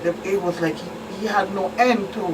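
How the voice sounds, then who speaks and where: A woman is heard from a little way off the mic, with clear background noise. She has a Virgin Islands Caribbean accent and a mid-range pitch. She explains as an expert police guest on a talk show, plainly and with emphatic repetition. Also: steady tone, brisk. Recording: distant mic, clearly noisy room with hum.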